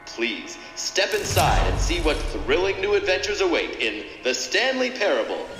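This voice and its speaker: A cheery game announcer. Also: male voice, a little high, very animated, moderate pace, a bit bright, roomy sound, soft background music. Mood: salesy. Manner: upbeat, salesy. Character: cheery male game announcer, promotional and upbeat. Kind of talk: scripted promotional narration.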